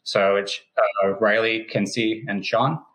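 Low flat-voiced male co-founder. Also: takes it slowly, rough recording. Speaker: company co-founder, guest. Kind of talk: casual interview talk.

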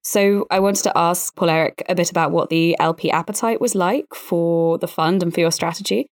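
A woman speaking as a podcast host, measured and articulate, in a presenting and interviewing style. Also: fairly low pitch, fairly even intonation, dry recording, studio quality. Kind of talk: scripted podcast narration and interviewing.

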